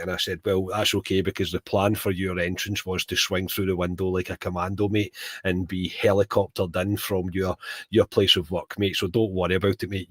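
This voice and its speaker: A man hosting a podcast in casual chat, a bit croaky from a cold, calling his co-host mate. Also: sits low, no room sound.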